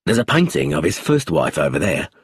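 A man voicing a calm, blunt police chief inspector in an audiobook, low, steady and abrupt. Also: fairly quick, slightly rough, no room sound, studio quality, heavily acted. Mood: firm.

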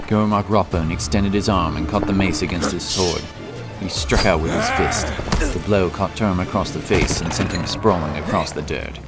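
A man reading scripted fantasy narration in a dramatic storytelling style. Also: low-pitched. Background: prominent music.

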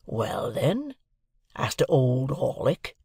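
Sullen journeyman blacksmith for an audiobook, played by a narrator: growly, drawn-out, spiteful, rough country working man. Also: medium pitch, huge pitch swings, a little mellow, no room sound, clean studio sound, exaggerated voice. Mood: surly, stubborn. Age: young adult. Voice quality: gruff, growling. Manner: drawn-out, rustic working-class speech.

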